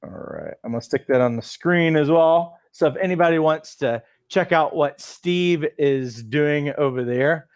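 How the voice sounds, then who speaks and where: A man's voice: fairly high pitch, a little slow, few pauses, smooth, no room sound, clean studio sound. A man hosting a live coaching webinar, upbeat, reading out viewer comments over a video call. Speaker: host of a coach training webinar. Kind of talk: casual live webinar chat.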